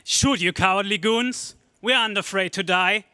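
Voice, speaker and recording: An actor playing a brave, defiant hero from Earth, earnest and emphatic, in a space serial radio drama. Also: male voice, sits high, moderate pace, sharp tone, clean tone, no echo, studio quality.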